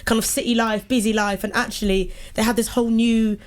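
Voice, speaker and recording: A woman's voice: a little high, little pitch movement, brisk. A woman presenting on local radio, chatty and laughing often. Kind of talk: casual radio chat. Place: radio studio.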